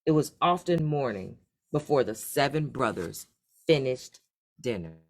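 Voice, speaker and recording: A woman narrating a children's folktale, reading aloud in an expressive, dramatic way. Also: extremely low pitch, a little slow. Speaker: storyteller.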